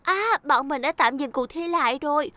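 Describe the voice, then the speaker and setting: A woman's voice: sits high, moderate pace, bright, forceful tone, no room sound. A woman voicing a kind, capable young female rescue-team helper in a children's cartoon, reassuring and organised. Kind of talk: animated children's cartoon dub.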